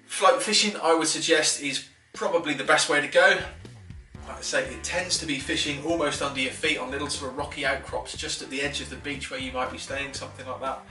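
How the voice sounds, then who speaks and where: An enthusiastic man in his thirties explains fishing tackle in a casual, chatty way, with lots of fillers and restarts. His voice is bright and forward. It is recorded from a little distance in an echoey living room, with faint music underneath. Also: fairly high pitch, fairly even intonation, fairly quick. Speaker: fishing instructor. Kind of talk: casual tackle tutorial to camera. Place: living room at a wooden table. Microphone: camera a few feet away.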